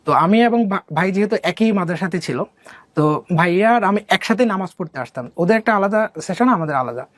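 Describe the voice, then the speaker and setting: A young man from Bangladesh, an interview guest telling his life story softly and hesitantly, with many pauses and fillers. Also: high-pitched, lively intonation, no room sound. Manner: soft-spoken, hesitant, many fillers and restarts.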